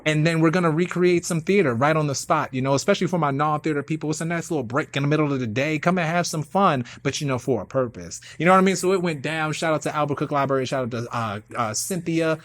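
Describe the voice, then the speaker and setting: A man hosting a show casually, with hype, slangy shout-outs and repeated catchphrases. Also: a little high, fast talker, hardly any pauses, no room sound. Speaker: radio show host and performing artist.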